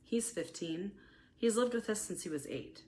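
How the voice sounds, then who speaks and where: A woman's voice: mid-range voice, animated, moderate pace, dark tone, a little hiss or hum, voice pushed up, close to an everyday voice. A woman reading the lines of a confident married man who confides frankly on a first date in a romantic audiobook. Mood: guarded, clarifying.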